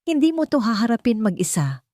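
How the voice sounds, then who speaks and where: A woman's voice: a little high, big pitch swings, brisk, mellow, dry recording, clean studio sound, voice pushed up. A woman narrator voicing a determined, steadfast young woman in an audio drama, speaking firmly and resolutely. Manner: firm, resolute.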